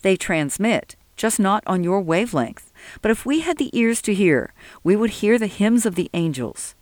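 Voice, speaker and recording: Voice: mid-range voice, expressive, moderate pace, dry recording, pitched above the performer's own voice, natural voice. A narrator voicing a devout, weary old man who speaks with solemn, earnest emphasis in an audiobook. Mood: reverent. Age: older.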